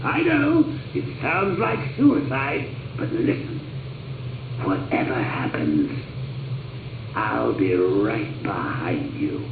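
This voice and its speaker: A man's voice: mid-range voice, very animated, slow, creaky, roomy sound, recorded from a distance, phone-quality sound, noisy background, full character voice. An actor playing a gleeful, murderous male clown criminal in a video game, taunting like a showman. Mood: showy.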